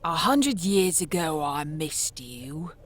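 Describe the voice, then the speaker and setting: A woman's voice: low voice, big pitch swings, slow, heavily acted. A woman voicing an eccentric, menacing, ancient street crone in a comic dub, rambling and cajoling with old-fashioned endearments. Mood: bargaining. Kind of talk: comic dub character acting.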